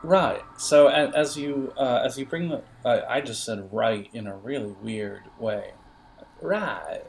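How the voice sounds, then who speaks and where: A man improvising narration as game master in a halting, digressive manner, with music behind the voice. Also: medium pitch, vocal fry, slight background noise.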